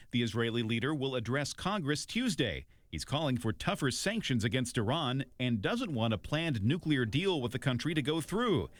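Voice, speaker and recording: A man narrating a scripted news package as a reporter. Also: mid-range voice.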